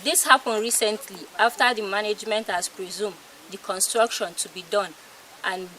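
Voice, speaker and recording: A woman's voice: medium pitch, steady tone, moderate pace, pushed and bright, low-quality recording. A young adult woman with a Nigerian accent reading a scripted news report as a student reporter.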